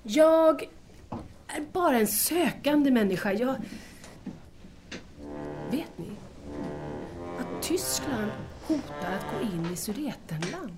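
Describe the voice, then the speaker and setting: Actress as a high-voiced, hesitant young woman. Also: animated, pauses often, over music. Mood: uncertain, worried. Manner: hesitant, polite, formal. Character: young, searching, hesitant woman student, Bianca. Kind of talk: Swedish audio drama dialogue.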